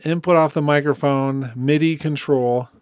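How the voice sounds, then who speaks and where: A man giving a casual software demonstration, speaking informally and thinking aloud as he goes. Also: on the high side, steady tone, normal speed, clean tone, no echo, clean studio sound. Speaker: music software tutorial host.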